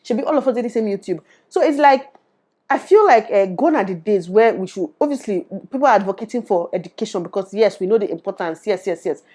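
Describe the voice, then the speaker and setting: Brief: young Nigerian woman, Nigerian accent, rapid animated vlog delivery, mid-pitched. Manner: animated, switches into Pidgin. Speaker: YouTuber. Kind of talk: opinionated to-camera vlog. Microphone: lapel.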